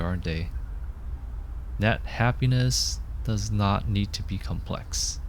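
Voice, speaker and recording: A man's voice: medium pitch, a little hiss or hum. A man playing an affectionate, playful boyfriend in a scripted ASMR roleplay, speaking with whimsical mock grandeur. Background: park ambience sound effects.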